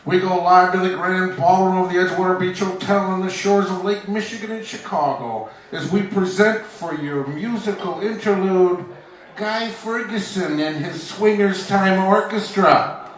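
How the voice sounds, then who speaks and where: A man playing a mock-serious, showy 1940s radio announcer and pitchman, with deliberate delivery. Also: natural voice. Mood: showy, salesmanlike. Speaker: announcer, narrator. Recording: echoey, distant, with music behind.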